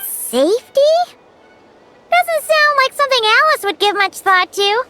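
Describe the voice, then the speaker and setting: Tiny squeaky game sprite, very high and slow-spoken, clicking noise behind. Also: female voice, animated, over music. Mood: surprised. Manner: squeaky, talks about herself in third person. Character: tiny chatty floating female companion sprite. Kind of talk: video game voice acting.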